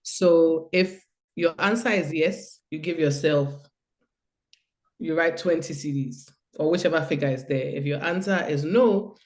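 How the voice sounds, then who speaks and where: A woman's voice: sits low, measured pace, a little mellow. A woman with a cold and a nasal voice, giving a hesitant online presentation over a video call. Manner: hesitant, many fillers. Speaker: guest speaker.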